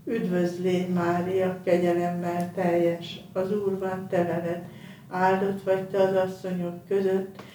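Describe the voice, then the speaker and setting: A woman leading a rosary, reciting the prayer in a measured, monotone way in a very echoey space, away from the mic. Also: fairly low pitch, recorded from a distance, a little hiss or hum.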